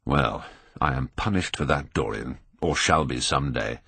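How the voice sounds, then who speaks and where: A man's voice: extremely low pitch, expressive, unhurried, gravelly, pushed and bright, husky, no echo, pitched below the performer's own voice, heavily acted. A man voicing an earnest painter in an audiobook, British, grave and pained as he pleads with a friend. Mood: sad. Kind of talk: audiobook character acting.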